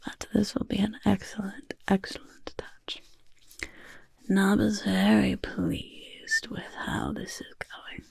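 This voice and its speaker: A woman voicing a pompous, gleefully chaotic, doting goblin overlord in a soft-spoken ASMR roleplay, with a breathy, husky near-whisper. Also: mid-range voice, flat delivery, slow, dry recording, exaggerated voice. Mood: pleased, coaxing. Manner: speaks of self in third person, grandly self-satisfied.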